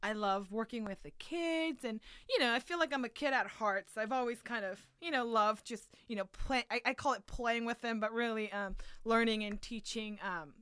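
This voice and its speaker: Chatty woman with a bright, high voice. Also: moderate pace, hardly any pauses, smooth, no echo, clean studio sound. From South Korea and Los Angeles area. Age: 30s. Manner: chatty, animated retelling with quoted asides. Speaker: podcast guest, museum educator. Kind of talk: casual interview chat.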